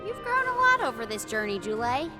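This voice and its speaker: High, animated young female game adventurer, bubbly and teasing. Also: fairly quick, loud music behind the voice. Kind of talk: video game voice acting.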